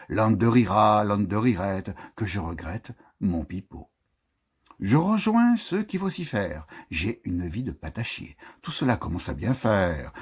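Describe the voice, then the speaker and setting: Male poetry reader, very husky low voice, theatrical and declamatory, with lively swings between swells and hushed endings. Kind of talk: theatrical, expressive poetry recitation.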